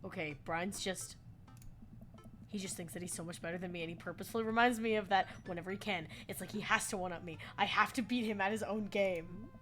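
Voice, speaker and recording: A woman voicing a competitive middle-aged dad in a video game, speaking in a lowered, earnest dad voice. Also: quick pace, over music, close to an everyday voice. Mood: aggrieved.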